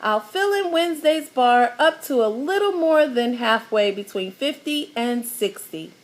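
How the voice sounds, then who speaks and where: A woman's voice: on the high side, lively intonation, a little slow. A woman voicing an organized girl who keeps the sales chart, announcing results eagerly.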